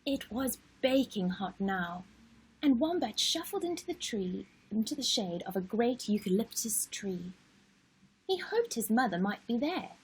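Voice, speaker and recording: A woman reading a children's storybook expressively as host, with dramatic pauses and emphasis. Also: a little high, very animated, husky, rough recording. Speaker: story-time host and reader.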